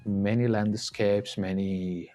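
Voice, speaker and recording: Slow, deep-voiced Iranian man, hesitant. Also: level tone, dark tone, soft background music. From Iran. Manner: hesitant, pausing with many uh fillers. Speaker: artist guest. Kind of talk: casual interview chat.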